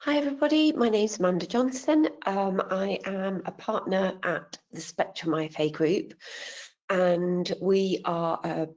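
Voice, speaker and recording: A woman who works as a financial adviser, briskly introducing herself on a webinar panel over a video call. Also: mid-range voice, a little mellow. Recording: muffled video call audio.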